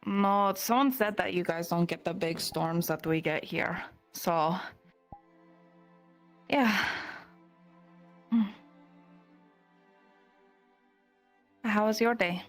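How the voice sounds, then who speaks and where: A woman's voice: on the low side, takes it slowly, long pauses, soft background music. A woman playing an earnest, naive young woman in improvised fantasy roleplay, talking hesitantly and informally, often trailing off. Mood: casual.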